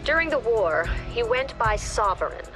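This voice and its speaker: A woman playing an authoritative squad commander, giving measured, clipped briefings in a video game. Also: fairly high pitch, very animated, low-quality recording. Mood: composed, authoritative. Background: prominent music.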